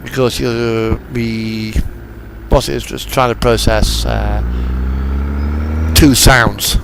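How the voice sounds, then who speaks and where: A man's voice: medium pitch, lively intonation, slow, creaky, background noise. A man chatting casually as he streams a driving game, rambling and trailing off mid-thought. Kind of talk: casual chat while playing a truck driving game.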